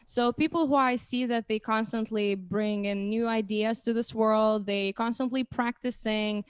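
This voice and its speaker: A woman's voice: fairly high pitch, fairly even intonation, a little slow, smooth, dry recording. A young woman hosting a casual livestream chat, speaking hesitantly and often restarting phrases. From Lithuania. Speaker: host.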